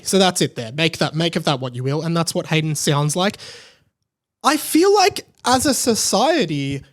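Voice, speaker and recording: A man hosting a podcast, talking casually and emphatically in an informal, gushing fan style. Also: a little high. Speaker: podcast host. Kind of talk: casual opinionated podcast monologue about pop culture.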